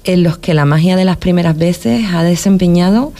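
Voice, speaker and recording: A woman's voice: on the low side, normal speed, mellow. A woman from Spain hosting a local radio show, talking in a thoughtful casual way with many restarts and tag questions. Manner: thoughtful, repeats words and adds tag questions.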